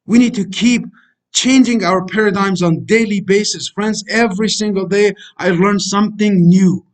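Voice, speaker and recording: A man's voice: high-pitched, steady tone, moderate pace. A middle-aged man whose native language is Kurdish, lecturing as a life coach in a preachy, repetitive way and calling the listeners friends. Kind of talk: motivational voice-over lecture over slides.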